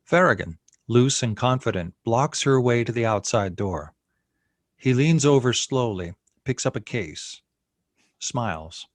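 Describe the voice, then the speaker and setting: A man's voice: medium pitch, animated, unhurried, no room sound, clean studio sound. A man reading screenplay action and scene headings as the narrator of a table read. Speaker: narrator reading stage directions.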